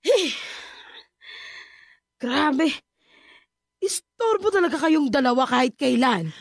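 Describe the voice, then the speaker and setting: A woman's voice: high-pitched, sing-song, slow pace, dry recording, clean studio sound, heavily acted. A woman acting a drunk, irritable Filipino mother in a radio drama, whining and grumbling in slurred speech. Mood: drunk, annoyed. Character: drunk, irritable, neglectful mother brushing off her family.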